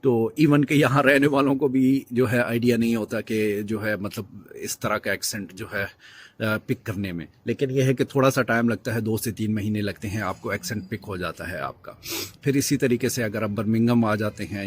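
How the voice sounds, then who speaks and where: A man's voice: on the low side, moderate pace, a little hiss or hum. A man giving casual advice as a video host, with many fillers and restarts.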